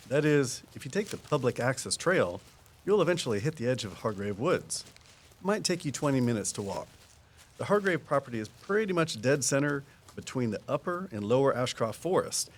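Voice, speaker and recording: An actor plays a folksy small-town conservation man in an audio drama. His voice is medium in pitch, and he explains things patiently in an easygoing, down-to-earth way. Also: very animated, normal speed, slight background noise, lightly acted. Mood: explanatory, easygoing.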